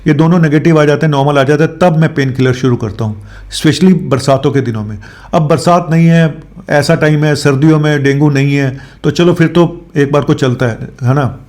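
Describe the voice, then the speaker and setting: A man's voice: fairly high pitch, a little fast, a little mellow. A man speaking as a doctor on his health show, explaining informally with English medical terms mixed in and frequent tag questions.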